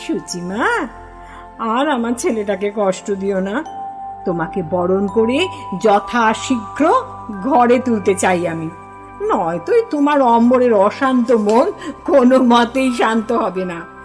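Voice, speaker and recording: A woman's voice: on the high side, animated, slow pace, over music. An actress playing a motherly aunt on the phone, coaxing and affectionate, in a radio drama. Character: older aunt, warm motherly woman on phone.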